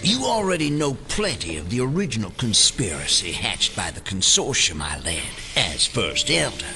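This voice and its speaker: A man playing a solemn, menacing older conspiracy leader in an audio drama, speaking in a formal, deliberate and ominous way. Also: on the low side, sing-song, background noise. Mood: grave, menacing.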